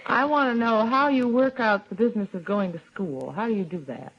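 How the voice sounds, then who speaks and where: 1940s radio interviewer, American woman, brisk and chatty, coaxing delivery, muffled vintage sound. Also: a little high. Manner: chatty, coaxing, prompting with questions. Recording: dull, lo-fi old radio recording.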